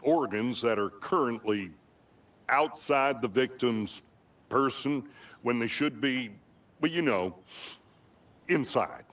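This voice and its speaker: A man's voice: medium pitch, lively intonation, takes it slowly, pauses often, a bit bright, recorded from a distance. A man playing a bumbling small-town deputy in a video game, folksy, hesitant and awkwardly polite. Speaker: sheriff's deputy. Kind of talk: comic-relief video game acting.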